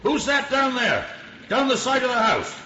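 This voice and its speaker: A man's voice: very high voice, sing-song, moderate pace, sharp tone, slight background noise, full character voice. An actor playing a plain-spoken male village pub landlord as a witness in a radio drama. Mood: suspicious. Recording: roomy, slightly muffled radio drama.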